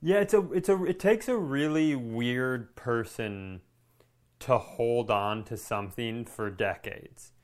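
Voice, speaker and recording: Male podcast co-host, unhurried pace, mid-range voice, informal and halting, thinking aloud. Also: medium pitch. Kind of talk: casual chat.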